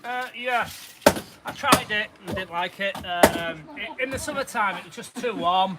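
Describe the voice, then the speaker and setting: A man with a Yorkshire accent chatting casually as he works as a builder, pausing hesitantly when he explains things. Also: high-pitched. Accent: Yorkshire English. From Leeds, England. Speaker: builder on a live stream. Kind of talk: casual working chat. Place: garden room construction site. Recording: very noisy live building site.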